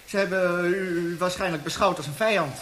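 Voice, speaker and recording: Radio play prisoner: innocent writer under questioning, high voice, halting nervous protests, slightly hissy recording. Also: male voice, fairly bright tone. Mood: protesting. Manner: pleading, defensive, halting. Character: frightened, protesting arrested male writer, innocent humanist. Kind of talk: Dutch radio play acting.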